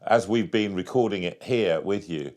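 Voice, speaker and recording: A man interviewing in a conversational style, earnest and halting, repeating words for emphasis. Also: low voice, a little mellow. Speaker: interviewer, host.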